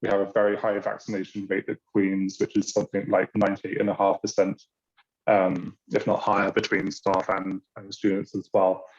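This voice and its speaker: A British man walks new students through an online orientation, hesitating often with ums and uhs. His voice sits low and stays fairly level. The video call audio sounds dull and lo-fi. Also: monotone, normal speed. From Britain. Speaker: university international programs staff.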